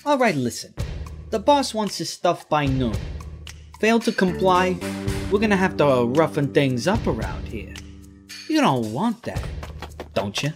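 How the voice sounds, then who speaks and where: A man's voice: a little high, very animated, a little slow, pauses often. A man voicing a bossy, curt, menacing gangster enforcer in a cartoon crime story. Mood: threatening. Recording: music under the voice.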